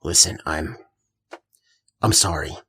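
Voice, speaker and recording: An actor playing a troubled young friend from the past, husky and hesitant, in a naturalistic audio drama. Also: rumbling low, takes it slowly, pushed and bright, full character voice. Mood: pleading. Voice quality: husky, gravelly. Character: troubled, pleading street-kid friend from the past.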